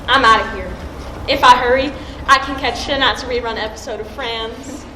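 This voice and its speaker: A woman's voice: on the high side, moderate pace, pushed and bright. A woman playing a breezy, folksy, chatty aunt who runs a diner, in an amateur school play. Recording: echoey stage with clear noise.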